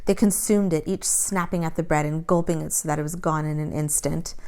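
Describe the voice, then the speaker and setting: A woman reading a children's novel aloud as an expressive story narrator. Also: sits low, brisk. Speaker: story reader.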